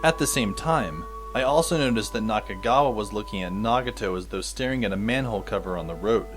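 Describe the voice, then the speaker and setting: A man's voice: fairly low pitch, brisk, soft background music, lightly acted. A man playing a wry, exasperated teenage schoolboy who narrates his story in a dry, sardonic way. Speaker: narrator and lead actor in a fan audio drama. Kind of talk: scripted first-person narration.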